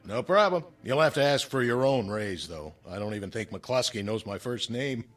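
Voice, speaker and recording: An American actor playing an easygoing, puzzled office colleague in a naturalistic drama, casual, hesitant and given to banter. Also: male voice, mid-range voice, animated, moderate pace, fairly bright tone, faint music behind, lightly acted. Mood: breezy, joking.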